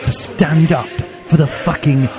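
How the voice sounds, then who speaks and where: A man's voice: on the high side, steady tone, measured pace. Theatrically emphatic man narrating over music. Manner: mock-serious, theatrically emphatic. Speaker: reader. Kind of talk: dramatic reading of comic fan fiction. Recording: music behind the voice.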